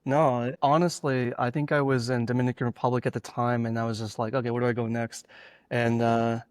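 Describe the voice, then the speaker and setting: A man's voice: medium pitch, little pitch movement, brisk, clean tone, dry recording. Laid-back joking man, dry sound. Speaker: podcast host. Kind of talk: casual podcast chat.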